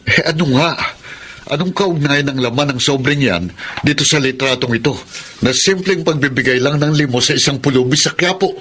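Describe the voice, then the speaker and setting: A man's voice: medium pitch, normal speed, fairly bright tone, a little hiss or hum, natural voice. A man with a Filipino accent playing a bitter, despairing imprisoned husband and father in a radio drama. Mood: guarded, irritated.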